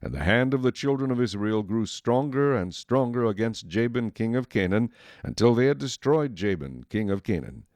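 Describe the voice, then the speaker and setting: A man's voice: medium pitch, lively intonation, normal speed, darkish tone. A man narrating a dramatized Bible story as scripted, solemn storytelling.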